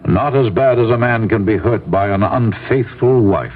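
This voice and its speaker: An actor playing a composed, brooding doctor in an old-time radio drama, speaking in a measured, formal, deliberate way. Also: male voice, lowish voice, a little hiss or hum, close to an everyday voice. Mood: quietly brooding. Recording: muffled, narrow-band vintage radio recording.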